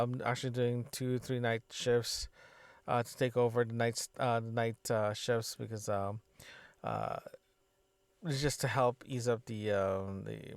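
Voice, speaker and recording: Halting man with a gravelly, creaky voice. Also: mid-range voice, no echo. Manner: halting, circles back and self-corrects often. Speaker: podcaster, system designer. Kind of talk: casual solo audio diary, rambling chat.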